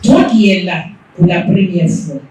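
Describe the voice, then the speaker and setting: A woman giving a gentle welcome address to a meeting. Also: fairly low pitch, lively intonation, unhurried. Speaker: welcome speaker. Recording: echoey hall from far off.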